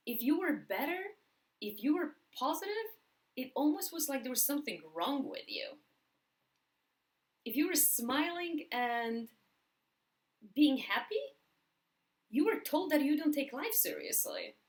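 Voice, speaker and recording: A woman with a Polish accent delivers a motivational talk to camera. Her delivery is emphatic, with words repeated for stress. She slows deliberately on the phrases that matter most. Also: high-pitched, animated. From Poland. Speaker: motivational speaker.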